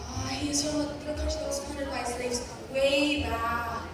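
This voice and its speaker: A woman's voice: sits high, level tone, measured pace, music in the background. A teenage girl acting a stern, sarcastic older mother in a school play. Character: stern older Filipino mother, sharp-tongued. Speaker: student actor. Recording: echoey hall from far off, noisy.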